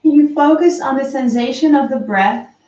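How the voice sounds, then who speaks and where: A woman slowly and softly guiding a breathing meditation, calm with long pauses. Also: high-pitched. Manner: slow, soft, calm guidance with long pauses. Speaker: meditation teacher. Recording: roomy, distant, dull lo-fi sound.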